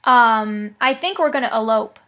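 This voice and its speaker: A woman's voice: on the high side, moderate pace, pushed and bright. A woman making a prank phone call, talking in a mock-serious, teasing way. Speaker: prank caller.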